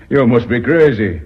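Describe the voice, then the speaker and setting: An actor playing a gruff, blunt company boss in a radio drama, curt and scornful in his delivery. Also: medium pitch. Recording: muffled vintage radio drama recording.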